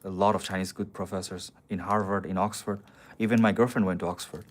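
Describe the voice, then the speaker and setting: A man's voice: low-pitched, steady tone, quick pace. A man on a casual live stream, answering viewer comments in a blunt, profane, argumentative way. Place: city streets outdoors.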